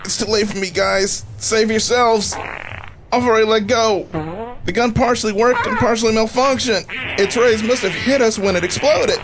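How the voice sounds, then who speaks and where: An earnest, nerdy male inventor acted by a man with a fairly high voice. He over-explains in precise terms in a comedy radio drama, with music clearly behind him. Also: normal speed. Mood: anguished.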